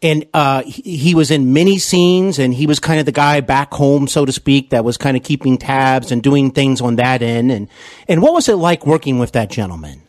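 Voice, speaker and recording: A man's voice: mid-range voice, normal speed, darkish tone, no echo, clean studio sound. Rambling man hosting an interview. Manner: rambling, filler-heavy questions. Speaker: podcast interviewer and actor. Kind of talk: casual interview questions.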